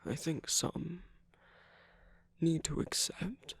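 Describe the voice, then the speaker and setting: A man performs a villain in a romantic ASMR roleplay, tender yet in command. His voice is slightly high for a man, breathy and full of creak, often sinking into whispers. He speaks in gentle, measured phrases, close and dry. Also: no room sound, full character voice. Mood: gentle, earnest. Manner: slow, gentle, measured, with deliberate pauses.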